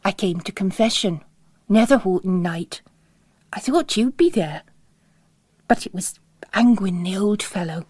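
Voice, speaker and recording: A woman's voice: medium pitch, measured pace, pauses often, darkish tone, no echo, studio quality, natural voice. A woman voicing an impetuous, earnest young nun with an urgent, outspoken manner in a story reading. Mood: chatty, indignant.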